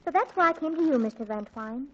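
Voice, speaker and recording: A woman's voice: high-pitched, expressive, fast talker, loud music behind the voice. An actress playing an eager teenage girl who is planning a party and is bubbly and persuasive. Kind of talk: 1940s radio acting. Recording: dull old radio broadcast.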